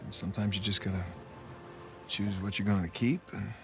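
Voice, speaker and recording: An American man in his thirties playing a good-natured, gently sardonic retired adventurer in a video game, casual and a little hesitant. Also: fairly low pitch, steady tone, fairly quick, lightly acted. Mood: earnest, warm. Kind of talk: video game cutscene acting. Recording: music under the voice.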